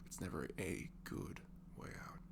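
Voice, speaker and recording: A man softly improvising an intimate, comforting voice message to a friend, halting and casual, sometimes slipping into a murmur. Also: whispered. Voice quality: low velvety, gravelly. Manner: gentle, halting, drifts into breathy murmurs, casual teasing.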